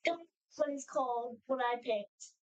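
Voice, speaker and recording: High-pitched woman jumping into a casual chat, blunt little comments. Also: measured pace. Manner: cuts in eagerly, short blunt remarks.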